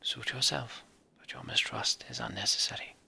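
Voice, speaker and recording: Male reader as composed female healer-envoy in fantasy game; formal, guarded, crisp forceful edge with some creak. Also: mid-range voice, steady tone, whispered, heavily acted. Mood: cool, firm. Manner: measured, formal. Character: composed, cautious woman mender, a magic-wielding town envoy.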